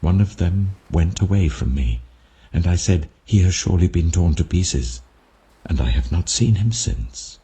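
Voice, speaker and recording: A man's voice: low-pitched, lively intonation, unhurried, warm tone. A man voicing a humble, earnest brother pleading deferentially before a lord in a dramatic scripture reading. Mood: earnest recounting.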